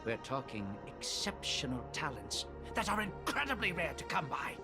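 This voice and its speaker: Earnest film director, highish and expressive, game music behind. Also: male voice, moderate pace. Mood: passionate. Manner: earnest, proud, dramatic. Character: defiant passionate film director man. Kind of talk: video game voice acting. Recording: game audio with clear music.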